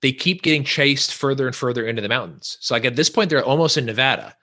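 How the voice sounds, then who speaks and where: A man from Northern California chats on a podcast with a bright, forward tone. He rambles and restarts his sentences, leaning on filler words as he goes. His pitch sits in an ordinary middle range. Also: expressive, fast. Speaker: podcast guest. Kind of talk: casual podcast conversation.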